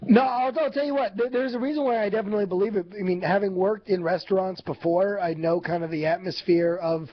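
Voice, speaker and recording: A man's voice: high-pitched, steady tone, quick pace, few pauses. A man telling a story in casual chat as co-host, rambling with lots of "like" and "you know". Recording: lo-fi live stream audio.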